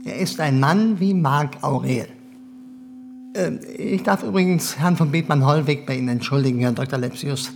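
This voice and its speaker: A man's voice: on the high side, normal speed, creaky, a little hiss or hum. A man in a radio drama playing a condescending German government official, formal, evasive and hesitantly polite. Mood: courteous, evasive.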